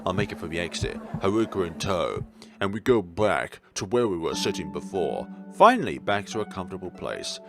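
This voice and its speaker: Low-voiced man narrating over prominent music. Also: big pitch swings, a little fast, creaky. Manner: theatrical reading, stumbles over words, jokey asides. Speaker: video game let's player. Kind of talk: reading visual novel narration aloud with playful commentary. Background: game music.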